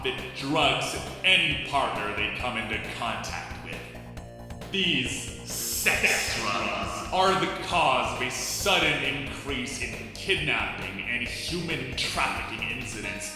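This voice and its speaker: A man hosting a scripted video essay, delivered in a sarcastic, wry and conversational way. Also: mid-range voice.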